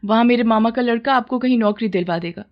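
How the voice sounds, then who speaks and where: A woman's voice: fairly high pitch, fast talker, highs cut off, lightly acted. Woman playing childless village wife, yearning, defensive, pleading, moral-story drama.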